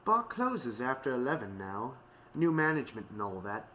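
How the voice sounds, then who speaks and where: Man acting rough British barmaid pony, raised pitch, curt, suspicious, storybook reading, faint noise. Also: sing-song, normal speed, sharp tone. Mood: apologetic, curt.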